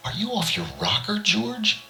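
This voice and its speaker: A man's voice: sits high, big pitch swings, a little slow, gravelly, sharp tone, roomy sound, voice pushed up, heavily acted, poor audio. A man voicing a suspicious, irritated girlfriend in a short story, with a brash, questioning manner.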